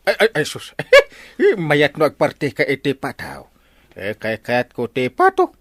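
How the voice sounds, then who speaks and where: An excitable village buddy in a radio comedy. He has an Ilocano accent and extremely animated, swooping pitch in a dry, close recording. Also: unhurried, no room sound, heavily acted. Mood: surprised.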